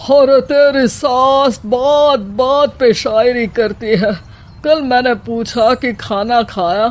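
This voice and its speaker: A woman voicing a troubled, henpecked elderly father-in-law who grumbles in a comic story. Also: on the high side.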